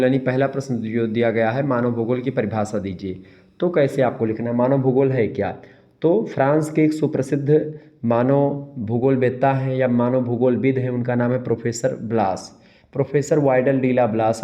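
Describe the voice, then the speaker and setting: A man with a sore throat giving an exam-prep lecture, repeating key terms and answering his own questions. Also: mid-range voice. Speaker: teacher. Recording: dull lo-fi sound.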